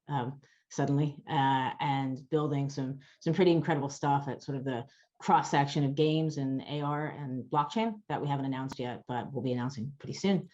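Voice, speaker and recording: A woman, a game studio director on a panel, talking briskly and upbeat. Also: very deep voice, dark tone. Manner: brisk and upbeat, quick bursts. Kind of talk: panel discussion. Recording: dull lo-fi call audio.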